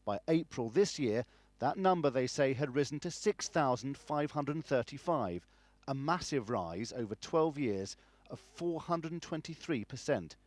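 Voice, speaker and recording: A man's voice: medium pitch, animated, measured pace, darkish tone, dry recording. A man narrating a scripted television news report.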